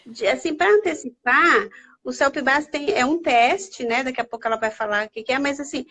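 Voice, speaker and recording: High-voiced Brazilian woman moderating. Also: a little fast, pushed and bright. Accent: Brazilian Portuguese. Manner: conversational, hands questions to her colleague. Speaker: Portuguese language teacher and moderator. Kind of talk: moderating a live online talk.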